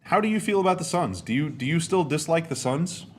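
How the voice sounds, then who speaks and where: A young adult man, a bit hoarse and raspy, hosting a casual live stream from home and talking freely with his viewers. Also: fairly high pitch, expressive, brisk. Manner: chatty, reads chat comments aloud. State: hoarse, dry sinuses. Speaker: podcast host. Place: home room.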